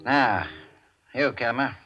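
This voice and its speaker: An American actor playing a gruff, skeptical police inspector, curt and probing, in a 1940s radio drama. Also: male voice, mid-range voice, takes it slowly, sharp tone, lo-fi sound, slight background noise.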